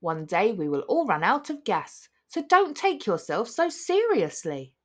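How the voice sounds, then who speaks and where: A woman's voice: medium pitch, very animated, unhurried. A woman voicing a kindly old storybook elder, delivering an admonishing speech in a pronounced manner. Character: eldest fart, wise old board leader, kindly lecturing.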